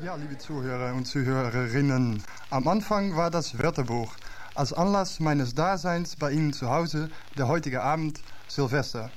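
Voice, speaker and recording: A man whose first language is not German, reading a scripted literary radio essay in a measured way, from an old radio archive recording. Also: on the high side, slight background noise. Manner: measured, literary delivery with slightly non-native phrasing.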